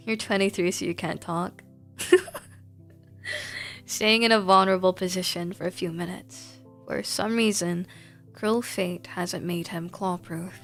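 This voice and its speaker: A woman's voice: fairly low pitch, steady tone, a little slow, pauses often, a bit bright. A woman livestreaming a game, switching between casual, playful chat and reading the game's text aloud. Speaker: streamer playing and reading aloud a horror game. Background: music.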